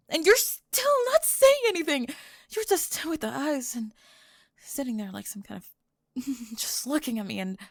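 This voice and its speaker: A woman's voice: high-pitched, dry recording, clean studio sound, heavily acted. A woman playing a flustered young goth girl with a secret crush, rambling and stammering breathlessly in an ASMR roleplay. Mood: spiraling, defensive. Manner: rambling, stammering, breathless panicked over-explaining.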